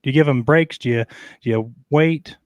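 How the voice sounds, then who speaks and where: Man, host, casual chat, halting, repeats himself, dry close sound. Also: medium pitch, no echo. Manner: halting, repeats words, laughs easily.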